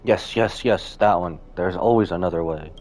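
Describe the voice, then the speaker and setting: A man's voice: low voice, normal speed, a little mellow, slight background noise. A man streaming live, giving casual reaction commentary on a game story as it plays.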